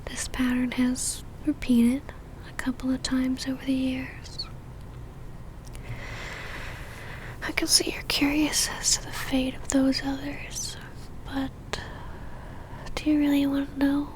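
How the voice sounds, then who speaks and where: A woman playing an obsessive, seductive female vampire in a whispered ASMR roleplay, speaking intimately with a teasing, soft menace. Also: sits high, completely flat delivery, measured pace, a little hiss or hum, poor audio. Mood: wistful, ominous.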